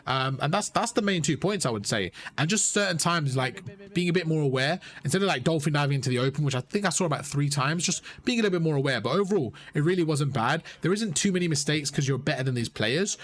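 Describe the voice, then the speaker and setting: Fast-talking young man coaching gamers. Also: fairly high pitch, lively intonation. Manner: chatty, repeats phrases, addresses chat as bro. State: strained voice. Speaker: streamer and gaming coach. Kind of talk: casual live-stream coaching.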